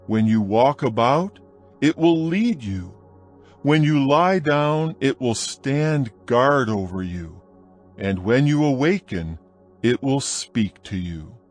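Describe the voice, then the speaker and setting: A man reading scripture aloud in a slow, measured, solemn dramatized narration. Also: medium pitch, soft background music. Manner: slow, measured, solemn recitation with lively rises on appeals. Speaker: Bible audio narrator.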